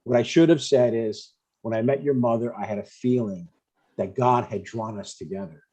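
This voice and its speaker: A man's voice: medium pitch, normal speed, dark tone, slightly rough, natural voice. A man playing an older widowed father and retired deacon, earnest, blunt and a little hesitant, in a scripted comedy table read. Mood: mild, reflective. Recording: dull, lo-fi sound.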